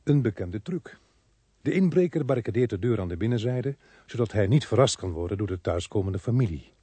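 Police inspector, radio drama: husky, fast, businesslike, fairly low-voiced. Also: male voice, lively intonation, dark tone, no room sound. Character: brisk, matter-of-fact male police inspector telling his case. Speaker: actor in a radio play. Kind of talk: radio drama dialogue and narration.

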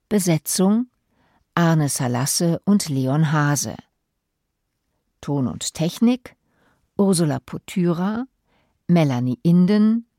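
Low female radio announcer doing credits, mellow, very dry studio sound. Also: no room sound.

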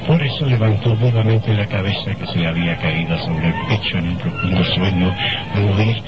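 A man's voice: low voice, normal speed. A man with a Chilean accent giving dramatic scripted narration for a horror radio play. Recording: muffled old radio recording, loud music.

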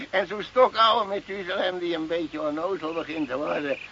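Chuckling, creaky old goatherd. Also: male voice, high voice, unhurried, bright, forceful tone, heavily acted. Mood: sly, chuckling. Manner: stammering, repetitive, frequent chuckling and questioning tags. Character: sly, chuckling old male goatherd and smuggler, cheerfully rambling. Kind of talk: Dutch radio drama acting. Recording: muffled old radio recording, faint noise.

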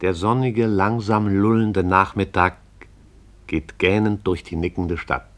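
A man narrating a radio drama in a measured, lyrical style. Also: low-pitched, steady tone.

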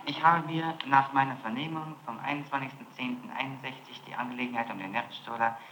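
A man of average pitch questions a suspect in a formal, confronting way. He probes insistently like a prosecutor. The archival tape is echoey, with plenty of noise over it. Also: steady tone, fast talker, pushed and bright. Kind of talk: formal interrogation, reading out statements.

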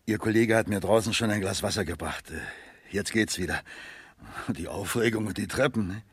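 Very raspy, evasive crime suspect. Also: male voice, on the low side, normal speed, dry recording. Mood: uneasy, polite. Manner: defensive, hesitant. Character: evasive, nervous middle-aged male suspect under police questioning. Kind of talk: 1970s German radio crime drama acting.

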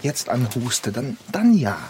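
Ein Schauspieler spielt in einem Hörspiel einen grüblerischen, unruhigen Mann, der in Bruchstücken vor sich hin redet. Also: male voice, mid-range voice, big pitch swings, takes it slowly, darkish tone, a little hiss or hum. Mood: preoccupied. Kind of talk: naturalistic radio drama dialogue.

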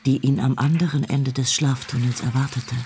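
A man's voice: medium pitch, level tone, moderate pace, a little mellow. Male radio play narrator, faint noise. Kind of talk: scripted radio drama narration.

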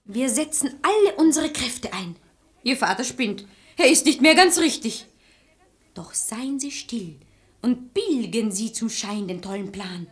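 A woman playing a sharp-tongued, resourceful maidservant in a German radio verse comedy, brisk, exasperated and commanding. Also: on the high side, animated. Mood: scheming, brisk. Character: sharp-tongued, resourceful maidservant, bossy matchmaker of quarrelling lovers.

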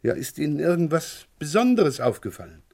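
An actor playing a wily police inspector in a German radio crime play, probing with hesitant, feigned casualness. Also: male voice, a little high, sing-song, brisk, gravelly, darkish tone, full character voice. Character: wily male police inspector questioning a neighbour of a murder victim.

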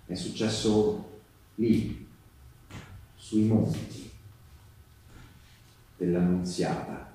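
An actor playing a wartime partisan who recounts his memories in a theatrical monologue, with emphatic repetitions and deliberate pauses. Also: low-pitched, steady tone, dark tone. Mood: grave, confiding. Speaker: theatre storyteller. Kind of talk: live theatrical narrative monologue. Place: live theatre performance with live music. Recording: very echoey stage recording, distant, music behind.

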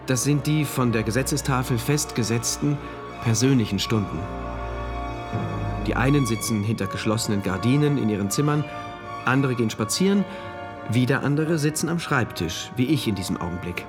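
A male actor as an earnest citizen of a regimented future state, confiding his diary in a radio play. He speaks precisely and with measured devotion, at a middling pitch, with music clearly present behind him. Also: lively intonation, natural voice. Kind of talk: first-person radio drama narration, diary entries.

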